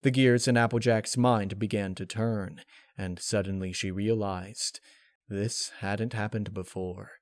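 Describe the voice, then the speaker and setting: A man reading scripted dark fan fiction aloud as its narrator. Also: fairly low pitch, steady tone, unhurried, dry recording.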